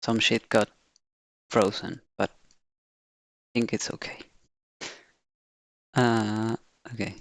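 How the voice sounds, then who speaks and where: A man's voice: fairly low pitch, level tone, slow pace, long pauses, fairly bright tone, dry recording, studio quality. A man streaming a game, chatting casually and half muttering to himself. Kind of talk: casual live-stream chat.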